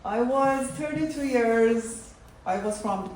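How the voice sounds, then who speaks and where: A woman's voice: a little high, a little mellow. A woman in her forties from Iran, telling a personal story in careful non-native English with an Iranian accent to a live audience. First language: Persian. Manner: slow, halting non-native English with laughs. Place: live event hall. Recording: echoey room, mic from far off, faint noise.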